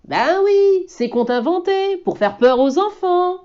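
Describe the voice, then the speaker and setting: A woman's voice: very high-pitched, slow, pitched above the performer's own voice, full character voice. A woman voicing a bossy, confident little boy who scolds and chatters in a storybook reading, on a slightly dull recording. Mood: friendly. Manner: scolding, lively.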